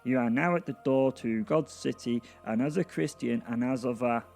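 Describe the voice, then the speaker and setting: A flustered little clerk at the gates of heaven, acted by a man, pitched up from a gravelly base. He is sleepy, polite and halting, reciting his greetings like rote customer service in an audio drama, over faint music. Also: a little mellow, lightly acted. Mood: rote, nervous.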